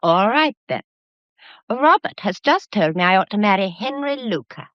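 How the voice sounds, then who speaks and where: A woman's voice: medium pitch, big pitch swings, moderate pace, no echo, close to an everyday voice. An actress playing a worried, well-bred young English woman in a radio drama, earnest and polite. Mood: defensive. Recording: dull, muffled radio play recording.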